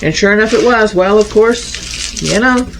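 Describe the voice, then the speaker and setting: A woman's voice: medium pitch, measured pace, background noise. Chatty woman with a slight cold. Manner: chatty, homey, addresses viewers as y'all. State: starting a cold, a bit raspy. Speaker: crafting channel host. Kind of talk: casual unboxing vlog.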